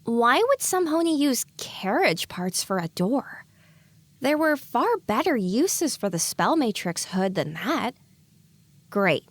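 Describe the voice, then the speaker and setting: A woman plays a young pony mare musing to herself in an audio drama. The voice is somewhat high and recorded very dry. She sounds introspective, questioning and wry. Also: sing-song, slow, no room sound, full character voice. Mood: puzzled, wry. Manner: musing, questioning inner monologue.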